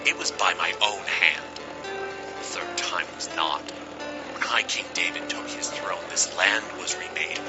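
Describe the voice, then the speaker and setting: Man acting a low, gravelly fallen noble. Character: world-weary renounced male noble turned commoner, bitter and grave. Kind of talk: dramatic audio fiction monologue. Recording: music playing behind the voice. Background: loud music.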